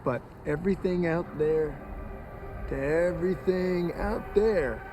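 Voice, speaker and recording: A steely frontier lawman in a screen drama, courteous yet menacing. His fairly high male voice is measured and folksy, with music clearly behind it. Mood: calm warning. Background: loud music.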